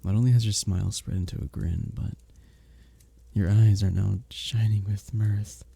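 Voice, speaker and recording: Man playing a dark-voiced, flirty medieval innkeeper. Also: fairly low pitch, fairly even intonation, moderate pace. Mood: flirty, amused. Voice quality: dark, husky, gravelly. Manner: formal old-fashioned diction, teasing, gently courteous. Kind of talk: romantic ASMR roleplay, scripted character acting.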